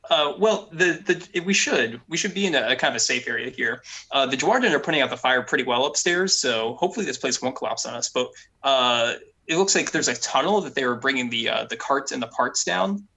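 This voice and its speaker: A man playing a tabletop roleplaying game, talking casually and haltingly as he repeats words. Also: mid-range voice, lively intonation, pushed and bright. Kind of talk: casual in-character game discussion.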